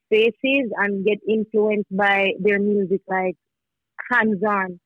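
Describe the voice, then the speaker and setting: A woman's voice: mid-range voice, fairly even intonation, slow, lo-fi sound. A young woman musician talking casually as a podcast guest, full of fillers and restarts. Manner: rambling, filler-heavy, many restarts.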